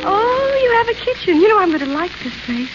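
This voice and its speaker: A woman's voice: brisk, loud music behind the voice. An actress playing a flighty, stubborn young society woman in a 1930s radio play, breezy and coaxing. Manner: breezy, coaxing, high and lively. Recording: dull old radio broadcast.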